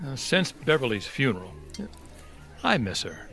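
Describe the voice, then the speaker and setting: Guy playing smug flirty widower in an audio drama, sharp bright voice, swoops everywhere. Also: mid-range voice, very animated, moderate pace, soft background music. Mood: wistful. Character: flirtatious, self-satisfied widower back to dating. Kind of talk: audio theatre acting.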